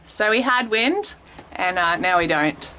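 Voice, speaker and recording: A woman's voice: fairly low pitch, unhurried, slight background noise, rough recording. A woman, chatting casually as she films herself at arm's length on a sailboat. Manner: chatty, relaxed, laughs often. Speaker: vlogger, sailing family mum. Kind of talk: casual travel vlog narration. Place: outdoors on a sailboat deck at sea. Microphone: handheld camera at arm's length.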